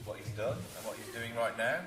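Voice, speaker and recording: A man co-hosting a college event, bantering playfully and teasing, quick with jokes. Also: on the low side. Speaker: co-host lecturer. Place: college hall. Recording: echoey hall from far off with music.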